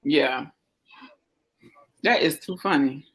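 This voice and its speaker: A woman with a Southern US accent hosting a casual live chat, animated and teasing. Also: low voice, slow pace, breathy edge. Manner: animated, teasing, calls everyone "girl". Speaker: host of a live chat show.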